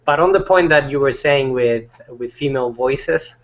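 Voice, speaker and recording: A man talking casually in a group chat, rambling with many fillers and restarts; he says his voice is not deep. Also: normal speed, muffled recording.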